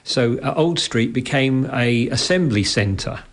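A man's voice: mid-range voice, expressive, takes it slowly. A man recalling a family business in a radio interview. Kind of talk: reminiscing in an interview. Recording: vintage radio tape.

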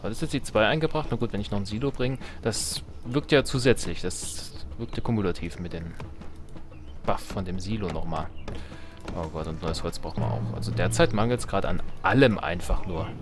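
Male Let's Play host, lowish voice, casual and colloquial game commentary with playful exasperation, music clearly behind him. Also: normal speed. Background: video game music.

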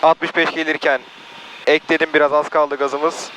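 A man's voice: fairly high pitch, level tone, normal speed. A young man flying as a student pilot, saying each action and radio call aloud in a small plane cockpit over a headset. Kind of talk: radio calls and callouts in flight. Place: small airplane cockpit in flight. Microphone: aviation headset. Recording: cockpit intercom with engine hiss.

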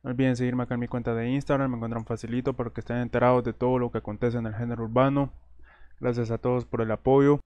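Brief: male channel host, smooth clear voice, dry close mic, casual chatty commentary. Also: mid-range voice, flat delivery, fast, darkish tone, no echo, lo-fi sound. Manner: conversational, keeps saying "señores". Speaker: host of an entertainment news channel. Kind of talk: casual screen-share commentary.

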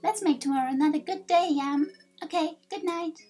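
A woman voicing a small, excitable, cheerful game creature in a squeaky, exclaiming way. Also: moderate pace, soft background music.

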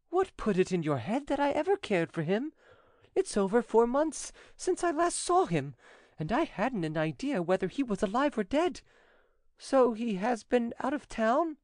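A narrator voicing a high-pitched, theatrical coquettish Frenchwoman. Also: very animated, unhurried, a little mellow, no room sound, clean studio sound. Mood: feigned innocence. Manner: theatrical, emphatic protestations. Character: coquettish Frenchwoman, falsely innocent, sweetly dramatic. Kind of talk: audiobook character dialogue.

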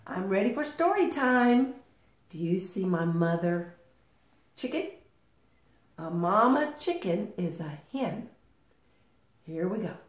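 A woman in her sixties hosting storytime, reading aloud to small children with deliberate, expressive, sing-song phrasing. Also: medium pitch. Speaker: storytime host. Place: living room, indoors. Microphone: camera a short distance away. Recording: roomy home recording.

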